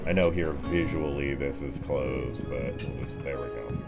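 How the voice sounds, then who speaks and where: A man's voice: rumbling low, moderate pace, creaky. A man narrating a tabletop fantasy game as game master, improvising descriptions casually with slangy asides. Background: background music.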